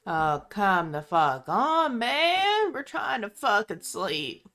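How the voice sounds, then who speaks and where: A woman's voice: medium pitch, very animated, slow, fairly bright tone, faint music behind. A woman voicing a grumpy, foul-mouthed creature who is weary and exasperated at being woken, in a tabletop game. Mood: weary exasperation. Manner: exasperated, cursing.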